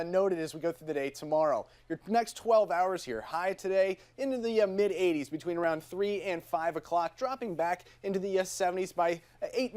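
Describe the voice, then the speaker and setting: Casting: American TV weatherman, high-pitched male voice, talking through the forecast off the cuff. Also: darkish tone.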